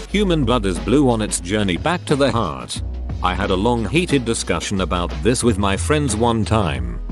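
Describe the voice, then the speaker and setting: Man, narrator, low voice, animated pitch, reads posts aloud, clear background music. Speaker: narrator reading online posts. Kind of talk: scripted reading of forum posts.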